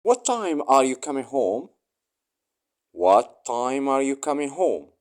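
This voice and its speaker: A man reading short English phrases aloud for learners, saying each one three times slowly and clearly. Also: mid-range voice, very animated.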